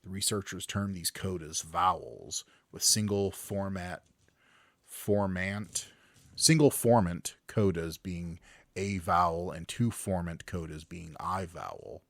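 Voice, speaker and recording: A man's voice: deep voice, slow pace, creaky, dry recording. A man co-hosting a casual podcast, reading news stories aloud and joking around. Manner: jokey, breaks into loud silly voices.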